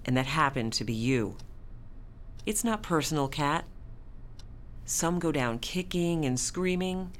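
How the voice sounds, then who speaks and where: An actress in a radio drama playing a composed, firm yet compassionate hospital staffer, speaking in a measured, formal, consoling way. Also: female voice, deep voice, animated, a little hiss or hum.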